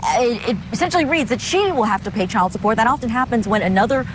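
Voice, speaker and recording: Female news correspondent amid clear street noise. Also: fairly high pitch, expressive, fast. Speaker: legal correspondent. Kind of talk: live news reporting. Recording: noisy outdoor street with traffic.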